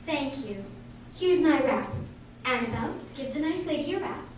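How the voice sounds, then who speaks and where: A woman's voice: sits high, expressive, moderate pace. A woman playing a prim, restrained young woman in a radio play, in an echoey, noisy recording. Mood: prim, scolding. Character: prim, restrained young woman, grieving her close friend. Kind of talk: amateur radio play acting. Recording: very echoey room, distant mic, clear noise.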